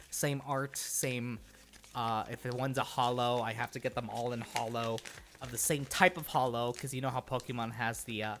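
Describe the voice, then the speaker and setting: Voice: medium pitch, a bit bright, a little hiss or hum. A livestream host chatting casually and rambling from thought to thought, with an easy habit of laughing and swearing.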